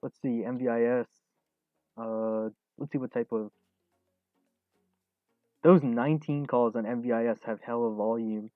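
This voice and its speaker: A man's voice: medium pitch, a little slow, pauses often, no echo, phone-quality sound, soft background music. A man in his early twenties hosting a casual trading livestream, answering viewer questions with frequent chuckles and fillers. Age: 20s. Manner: relaxed, chuckles often, many fillers. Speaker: stock trading livestream host.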